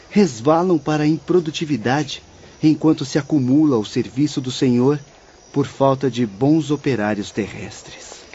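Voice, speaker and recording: A man playing a gentle, earnest spiritual teacher, speaking in a solemn, formal way in an audiobook drama. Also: mid-range voice, a little hiss or hum, natural voice. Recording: dull lo-fi audio under music.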